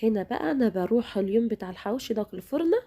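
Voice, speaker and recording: A woman's voice: a little high, expressive, rapid-fire, mellow. A woman with an Egyptian Arabic accent, hosting a home cooking demonstration in a chatty way, speaking straight to her viewers, her voice a little worn from a recent illness. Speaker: cooking channel host.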